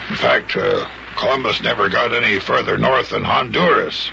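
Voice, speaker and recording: An actor playing a jolly, patient old sea captain in a children's radio play, with folksy nautical talk and repeated phrases. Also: male voice, fairly low pitch, measured pace, vocal fry, sharp tone, exaggerated voice. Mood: patient, correcting. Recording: muffled, noisy old radio recording.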